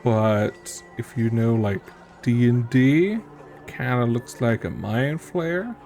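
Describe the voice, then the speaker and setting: A man's voice: mid-range voice, takes it slowly, darkish tone, soft background music. A man giving casual commentary while streaming a game, musing and thinking aloud.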